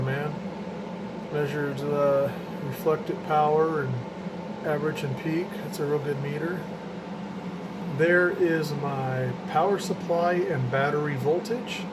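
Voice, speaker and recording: A man giving a casual, unscripted walkthrough of his hobby setup in a plainspoken, slangy way. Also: a little high. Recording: loud hum of fans and room noise.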